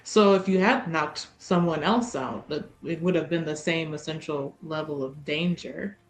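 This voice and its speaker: A woman's voice: low-pitched, normal speed, slight background noise. A woman in casual, informal roleplay conversation as a tabletop game player, often questioning. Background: background music.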